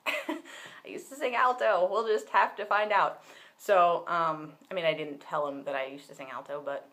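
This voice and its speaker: A man's voice: a little high, bright, forceful tone. Young man chatting casually to a webcam. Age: young adult. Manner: chatty and candid, many fillers and restarts. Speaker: vlogger. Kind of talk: casual personal vlog. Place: bedroom with bookshelves, indoors.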